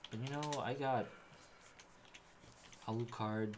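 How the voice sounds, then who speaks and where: A man co-hosting a casual comedy stream, rambling through deadpan jokes. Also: mid-range voice, slow pace, noisy background. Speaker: podcast co-host.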